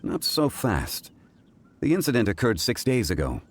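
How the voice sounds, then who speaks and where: An actor playing a calm, erudite gentleman investigator in a video game, speaking in a measured, formal way. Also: medium pitch, a little hiss or hum. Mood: composed. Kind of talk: video game character acting.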